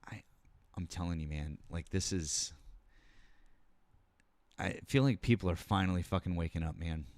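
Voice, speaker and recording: A man's voice: low-pitched, moderate pace, a little mellow, no room sound. A man hosting a live political talk stream, taking callers and speaking casually with lots of fillers and blunt swearing. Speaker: political talk show host.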